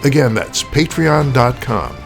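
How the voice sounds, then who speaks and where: Guy narrating a radio play, deliberate and theatrical host, lively pitch, music under him. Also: vocal fry. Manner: rhetorical, deliberate. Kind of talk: scripted dramatic narration.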